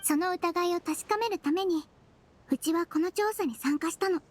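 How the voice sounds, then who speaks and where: A woman voicing a small, composed, kindly nonhuman head nurse in an anime-style game, gentle and matter-of-fact. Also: very high-pitched, moderate pace, slight background noise. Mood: calm, knowing.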